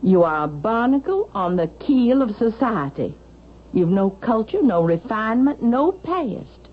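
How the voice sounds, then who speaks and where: An actress playing a cantankerous, snobbish older matriarch in theatrical vintage radio drama style. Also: female voice, a little high, sing-song, a little slow, narrow, tinny audio. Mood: scornful, imperious. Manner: haughty, theatrical. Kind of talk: 1970s-80s radio drama acting. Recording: thin band-limited vintage radio, hissy.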